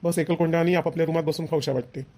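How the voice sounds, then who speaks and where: Man acting a fast-talking nagging young husband. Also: fairly high pitch, fairly even intonation, darkish tone. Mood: exasperated. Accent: Vidarbha Marathi. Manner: scolding, lecturing. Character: young husband, nagging, family-minded, exasperated. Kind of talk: amateur family cartoon voice acting.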